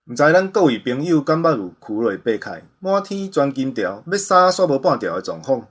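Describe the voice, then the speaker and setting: A man with a Taiwanese accent, hosting radio with a grandiose, earnest sales pitch. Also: very animated, normal speed, husky. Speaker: radio host pitching a product.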